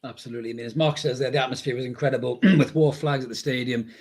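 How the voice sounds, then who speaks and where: Bloke hosting a footy podcast, really quick talker, bit husky, matey chat. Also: male voice, mid-range voice, fairly even intonation, a little mellow. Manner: matey, addresses guests and commenters. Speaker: podcast host. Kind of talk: casual football podcast chat.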